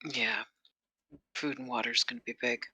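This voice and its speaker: A woman's voice: rumbling low, steady tone, normal speed, bright, forceful tone, slightly rough, rough recording. A woman playing a tabletop game, chatting in character with a dry, laughing manner. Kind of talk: in-character roleplay chat.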